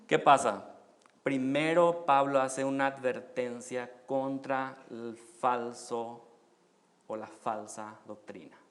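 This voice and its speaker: A man from Paraguay with a Paraguayan Spanish accent, preaching a sermon as pastor, asking rhetorical questions and rising loud on key phrases. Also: medium pitch, steady tone, takes it slowly.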